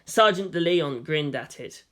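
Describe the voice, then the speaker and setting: A man's voice: fairly high pitch, expressive, unhurried, pushed and bright. A man narrating a story from a script as a fan fiction reader.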